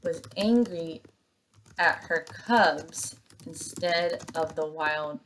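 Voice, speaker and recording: A woman teacher reading aloud and giving step-by-step instructions to young pupils in an expressive, emphatic way. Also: low-pitched, extremely slow, a little hiss or hum, rough recording.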